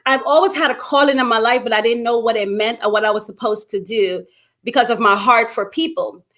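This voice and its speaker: A woman's voice: on the high side, a little fast, fairly bright tone. A middle-aged woman from Guyana and Brooklyn, speaking as an interview guest in an emphatic, conversational storytelling way. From Guyana and Brooklyn, New York. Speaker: interview guest, anti-gun violence activist.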